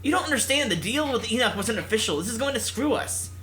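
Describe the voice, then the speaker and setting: A man's voice: very high-pitched, animated, fast, bright, forceful tone, voice pushed up, exaggerated voice, poor audio. A man voicing a bossy, exasperated young esports team leader in a visual novel, urgent and scolding. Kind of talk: visual novel character acting.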